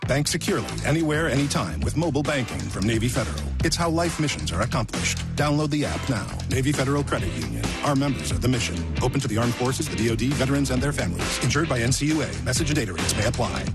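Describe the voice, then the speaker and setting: A man's voice: mid-range voice, lively intonation, fast talker, few pauses. A man narrating a scripted radio advertisement as a commercial announcer. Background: loud music.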